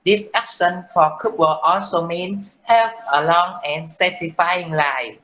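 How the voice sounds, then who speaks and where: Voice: mid-range voice, a little slow, pushed and bright, low-quality recording. A teenager with a Vietnamese accent, playing a talk-show guest in a class role-play. They recite a prepared explanation with many "uh" and "um" fillers. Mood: explaining. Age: late teens. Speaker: student playing a guest from India.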